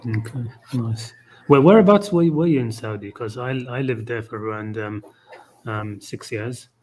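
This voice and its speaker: A man's voice: medium pitch, normal speed, darkish tone, slight background noise, low-quality recording. A man hosting a casual video chat, friendly and rambling, filling his sentences with ums. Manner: friendly, filler-heavy, rambling. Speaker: host. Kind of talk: casual conversation.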